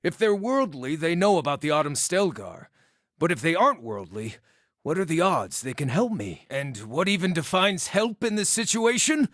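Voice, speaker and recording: A cheeky, scarred little druid outcast from a fantasy game. The voice sits fairly high for a male and swoops with lively intonation. The phrasing is wordy, wry and theatrical, recorded close and dry. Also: moderate pace, no echo. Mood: uneasy, rueful. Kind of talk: video game character dialogue.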